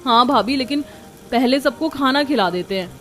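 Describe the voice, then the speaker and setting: A woman playing an overworked, aggrieved young daughter-in-law in a cartoon family drama, speaking in an expressive, complaining way. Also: high-pitched, moderate pace, soft background music.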